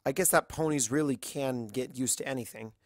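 Story narrator, scripted reading, mid pitch, dry sound. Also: a little fast, dry recording. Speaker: fan fiction narrator.

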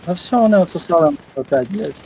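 A man's voice: on the high side, animated, fast talker, vocal fry, dark tone, slight background noise. A man arguing in game voice chat, agitated and swearing a lot.

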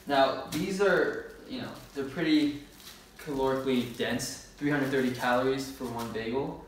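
A young man in his early twenties speaks casually and informally as a fitness vlogger. His voice sits at an average pitch, picked up from a distance in a very echoey room with faint noise behind it. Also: moderate pace. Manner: laid-back, informal talk with slang. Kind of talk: casual grocery haul vlog. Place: bare-walled room indoors. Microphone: camera a few metres away.

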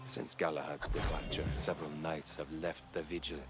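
A man playing a grave, weary knight who reads his journal in a solemn, measured way for a fantasy game. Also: rumbling low, little pitch movement, heavy background noise. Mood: solemn, resigned. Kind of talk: scripted dramatic journal narration in a fantasy game.

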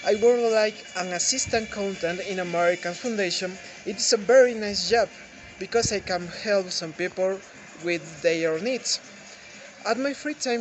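A young man from Ecuador with a Spanish accent, giving a prepared testimonial in careful, halting learner English, recorded outdoors on a phone. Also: sits high, low-quality recording. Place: outdoors under open sky.

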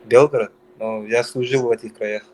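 A man's voice: mid-range voice, fairly even intonation, moderate pace, a little mellow, a little hiss or hum, poor audio. Hesitant young man from Dagestan. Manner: defensive, hesitant.

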